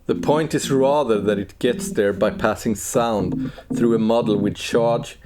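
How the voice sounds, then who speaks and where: A man in his forties narrating a scripted technical walkthrough in a measured, dry, understated way. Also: mid-range voice, music in the background. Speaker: plugin developer presenting walkthrough.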